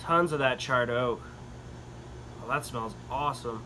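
A man's voice: medium pitch, unhurried. Young male beer vlogger, mid-range voice, loose and upbeat, recorded at a table in a small room with a faint hum behind. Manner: relaxed, enthusiastic tasting comments with frequent fillers. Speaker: beer reviewer. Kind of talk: casual beer review vlog. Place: small indoor room with wood-paneled walls.

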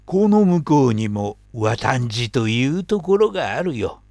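A man's voice: medium pitch, big pitch swings, unhurried, a little mellow, no room sound, clean studio sound. A man in his seventies voicing a haughty, mocking male snake who scolds and talks down to others. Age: 70s. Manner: scolding, condescending.

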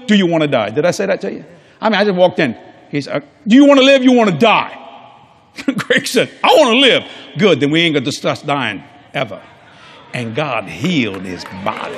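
A man's voice: high voice, a bit bright, husky. An older man preaching a sermon on a large church stage before a congregation, folksy and jokey, acting out quoted people in different voices.

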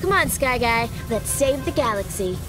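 A woman's voice: fairly high pitch, lively intonation, normal speed, over music, lightly acted. A woman playing a confident teenage Jedi apprentice in a video game, spirited and flippant. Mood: cheeky. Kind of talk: video game cutscene acting.

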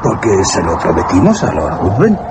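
An actor playing a robber in a suspense radio drama, recorded with noise behind him. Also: male voice, sits low, wildly swooping pitch, moderate pace. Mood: matter-of-fact. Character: male robber explaining a dead lord's wish.

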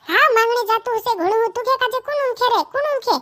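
A stubborn young village woman in a cartoon comedy. Her voice is extremely high and childlike. She bickers and repeats herself. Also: a little fast, a bit bright. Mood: argumentative, scornful. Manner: argumentative, repetitive.

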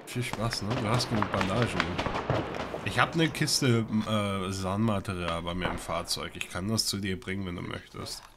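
A relaxed man with a fairly low voice chats with his squad mid-game, easygoing and joking. He talks through a headset with clear gunfire and game noise behind him. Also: normal speed. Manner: relaxed, joking, laid-back. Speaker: player in a military simulation game. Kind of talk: casual squad chatter during gameplay.

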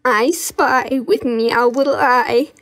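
Woman voicing a high, slow, sassy cat creature. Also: steady tone, fairly bright tone. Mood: sassy, lazy. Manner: drawling, slangy, catty. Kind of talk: amateur cartoon dub.

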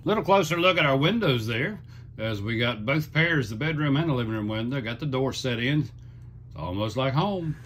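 Older man, folksy homeowner narrating a phone walkthrough, bright voice, chatty with fillers. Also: medium pitch, animated, normal speed, slightly rough. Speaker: vlogger touring his house build. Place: unfinished wooden house frame, indoors and on outdoor steps. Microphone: phone camera held by the speaker.

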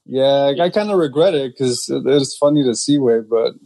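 A man's voice: medium pitch, normal speed, vocal fry, dark tone. Joking laid-back Chicano man. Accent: Chicano English, Los Angeles. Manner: joking, laid-back, drops Spanish slang. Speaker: podcast co-host. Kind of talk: casual chat.

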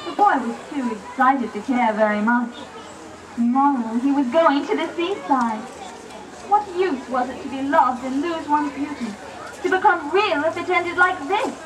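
A woman's voice: high voice, moderate pace, a crowd in the background. A woman narrator reading a scripted children's story for a puppet show. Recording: distant, noisy puppet theater recording.

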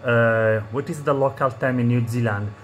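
A man's voice: medium pitch, steady tone, moderate pace. A man from Italy with an Italian accent, a native Italian speaker, making an amateur radio contact with phonetic call signs and repeated phrases. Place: indoors at a radio set. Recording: noisy handheld room recording.

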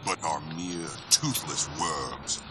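A man playing a huge, merciless tyrant emperor in a video game, gloating in grand, menacing declarations. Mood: menacing, scornful. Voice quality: deep, booming, menacing. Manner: slow, grandiose villainous declarations. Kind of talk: video game villain acting. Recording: loud music and rumble behind.

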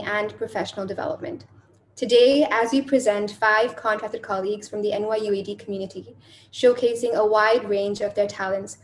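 A woman in her twenties, raised in Botswana, reading a measured introduction as co-moderator over a webcam. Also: mid-range voice, a little hiss or hum. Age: 20s. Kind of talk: scripted introduction. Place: indoor room. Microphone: video call webcam.

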